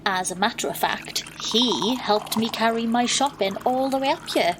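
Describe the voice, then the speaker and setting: A woman's voice: a little high, moderate pace, noisy background, lightly acted. An actress playing an exasperated, wry young woman, narrating and talking in an audio drama. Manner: dry, sarcastic.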